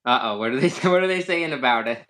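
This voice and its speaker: A man's voice: mid-range voice, fast. Guy chatting about sunglasses, bouncy voice, kinda hesitant, um-heavy. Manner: lively, hesitant with many fillers and restarts. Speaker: sunglass reviewer and co-host. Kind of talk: casual chat.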